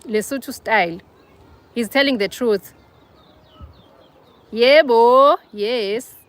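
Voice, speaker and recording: A woman's voice: fairly high pitch, slow pace, no echo. A young South African woman with a South African accent, hosting a casual livestream and chatting with viewers, teasing them by name. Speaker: livestream host. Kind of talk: casual live chat with viewers.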